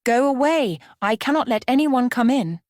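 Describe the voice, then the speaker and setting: A woman's voice: fairly high pitch, animated, normal speed, a little mellow, no room sound, studio quality, voice pushed up, natural voice. A woman voicing a sweet, cautious young girl princess in a storybook. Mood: wary.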